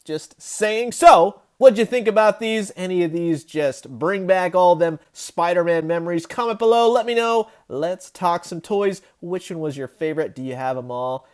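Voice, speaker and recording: A man's voice: high-pitched, moderate pace, few pauses. A man in his thirties giving a casual, enthusiastic toy review, chatty and emphatic as the host.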